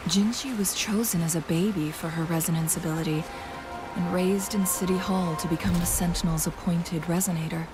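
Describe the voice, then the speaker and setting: An actress playing a thoughtful young woman companion in a game, speaking in a measured, reflective way and often musing softly. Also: on the low side, fairly even intonation, close to an everyday voice. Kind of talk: scripted game dialogue. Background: game music.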